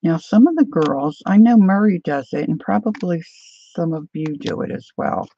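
A woman hosting a casual crafting live stream, chatting softly and unhurriedly with viewers while she cuts paper at her craft table. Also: lowish voice, lively intonation, moderate pace, husky, highs cut off. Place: indoor craft table, overhead camera.

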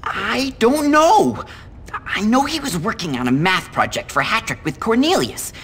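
Nerdy teen boy, game cutscene: worried, very animated, high-pitched. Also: measured pace, breathy edge, full character voice. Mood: worried, explaining. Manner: worried, chattering. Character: anxious, excitable nerdy teenage schoolboy. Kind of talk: video game cutscene acting.

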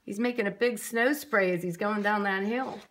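A woman's voice: mid-range voice, moderate pace, a bit bright. A woman nursery teacher reading a picture book aloud expressively, with chatty asides to young children. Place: classroom, indoors.

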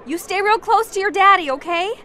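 A woman voicing a loving penguin mother in an animated video game. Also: very high voice, brisk, some chatter behind. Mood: tender, brave. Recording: faint background ambience and noise.

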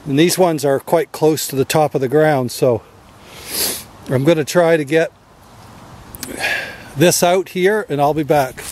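A man's voice: fairly high pitch, measured pace, pauses often, darkish tone. A middle-aged man explaining his work step by step in a casual how-to style, recorded outdoors in a wooded yard. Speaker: DIY video host.

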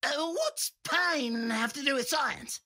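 A man's voice: extremely high pitch, huge pitch swings, slow pace, sharp tone, no room sound, full character voice. An actor playing a manic, scrappy young oddball man in a video game. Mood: eager.

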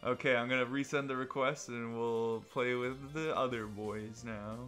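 A man bantering casually with friends while playing a video game, excitable, teasing and often breaking into laughter. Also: mid-range voice, normal speed. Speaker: online video game player. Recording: game music behind the voice. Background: video game battle music.

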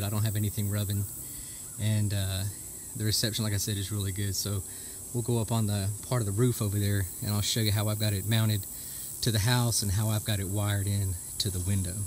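A man giving a casual, plainspoken, folksy how-to explanation, recorded outdoors on a handheld camera. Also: fairly low pitch. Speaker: homesteader giving a how-to demonstration. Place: outdoors in a rural yard. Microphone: handheld camera or phone mic. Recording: outdoor ambient noise with insect chirping.

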